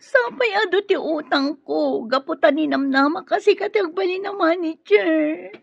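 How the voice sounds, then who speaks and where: Anxious debt-ridden woman, radio drama, plaintive, very high voice, dull dry recording. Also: moderate pace, vocal fry, no echo. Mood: worried, plaintive. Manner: fretful, plaintive.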